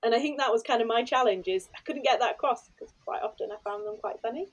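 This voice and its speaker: A woman's voice: on the high side, quick pace, lo-fi sound. A woman, a podcast host and former maths teacher, chatting eagerly and filling her talk with "kind of" and "like". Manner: chatty and enthusiastic, with many fillers like "kind of" and "like". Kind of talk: casual chat.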